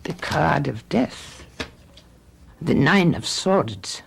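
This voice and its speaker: An actress playing a solemn, commanding satanic tarot reader, portentous and incantatory, in a radio drama. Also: female voice, sits low, lively intonation, extremely slow, creaky, background noise, heavily acted. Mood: solemn, ominous.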